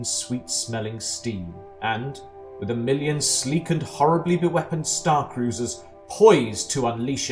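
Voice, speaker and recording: A man playing a measured, deadpan narrator of absurd galactic tales in an amateur audio drama. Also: mid-range voice, lightly acted. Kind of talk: scripted comic sci-fi narration. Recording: music behind the voice.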